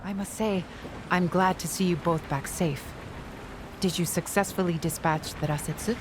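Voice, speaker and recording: A woman's voice: lowish voice, moderate pace, background noise, close to an everyday voice. An actress playing a composed, scholarly woman researcher in a video game, speaking in a formal, analytical way. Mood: composed, solemn. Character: composed, scholarly female sensei who studies monsters. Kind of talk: video game cutscene voice acting.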